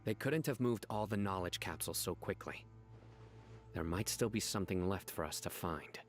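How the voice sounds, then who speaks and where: An actor playing a stoic, disciplined young male desert officer in a video game, with a grave, measured delivery. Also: low voice, faint music behind. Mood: composed. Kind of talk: scripted video game voice acting.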